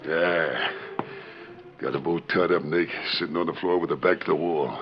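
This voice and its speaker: Deep gruff outlaw henchman on old radio. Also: normal speed. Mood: wary, urgent. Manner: rough western slang. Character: gruff male outlaw henchman, crude and wary. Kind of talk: 1940s radio western acting. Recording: muffled 1940s radio recording, music.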